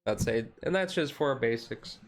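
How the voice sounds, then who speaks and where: A man streamer giving a casual, chill card-deck walkthrough, halting and self-correcting, his voice a little tired. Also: mid-range voice, animated. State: voice tiring. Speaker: streamer, card game hobbyist.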